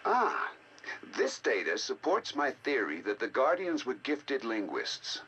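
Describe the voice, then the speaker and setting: A learned male researcher narrating a video game audio log. His mid-pitched voice rises and falls expressively as he muses over ancient data with deliberate, theatrical fascination. A faint layer of noise sits behind him. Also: wildly swooping pitch, sharp tone, dull audio, heavily acted. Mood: intrigued, explanatory. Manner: deliberate, theatrical, musing academic delivery. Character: scholarly male scientist, intrigued by ancient alien data. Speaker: researcher narrating decoded alien history logs.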